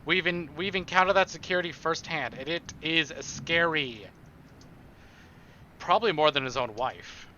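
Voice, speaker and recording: A man's voice: fairly high pitch, moderate pace, sharp tone, dull audio, a little hiss or hum. A man streaming a game, reading narration aloud expressively and dropping in casual, playful asides.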